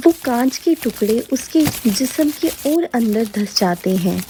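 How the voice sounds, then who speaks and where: A woman's voice: on the high side, warm tone. A woman narrator reading a scripted dramatic fairy tale as a storyteller.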